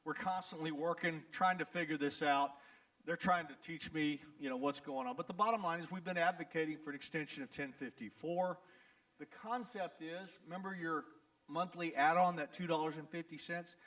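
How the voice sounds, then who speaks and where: A man's voice: fairly high pitch, brisk, fairly bright tone, far from the mic. A man with a Texas accent, an attorney speaking bluntly and folksily to residents at a town hall, with jokes and a repeated "the bottom line is". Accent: Texan. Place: event venue in front of an audience.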